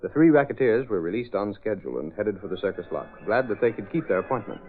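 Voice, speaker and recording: A man plays a formal, emphatic radio serial narrator, speaking quickly at medium pitch. Music plays prominently. The recording is narrow and old. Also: expressive, close to an everyday voice. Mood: urgent, formal. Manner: formal, emphatic announcer delivery. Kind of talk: 1930s-40s radio drama scripted narration. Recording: phone-like vintage radio recording.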